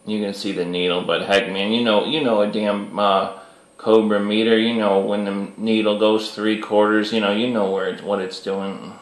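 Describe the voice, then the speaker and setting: Low-voiced man in an echoey room. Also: little pitch movement, moderate pace, background noise. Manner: informal, peppers talk with "you know" and CB slang. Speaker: CB radio hobbyist recording the video. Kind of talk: casual CB radio chat.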